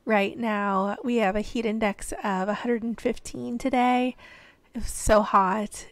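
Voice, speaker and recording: A woman in her thirties from the American Midwest, hoarse and losing her voice, chatting in a rambling voiceover at home. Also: on the high side, fairly even intonation, measured pace, no echo. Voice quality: tired, yawning. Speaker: reseller vlogger.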